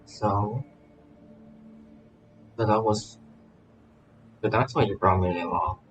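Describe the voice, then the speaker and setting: Low-pitched, halting male game streamer. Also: faint music behind, low-quality recording. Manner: halting, repeats words. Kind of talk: reading game dialogue aloud, casual reactions.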